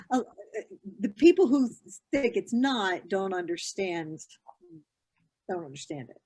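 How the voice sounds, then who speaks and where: A woman's voice: mid-range voice, expressive, slow, darkish tone, husky. A woman in her fifties, a grief therapist, answering interview questions in an earnest, explanatory way with many fillers and restarts. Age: 50s.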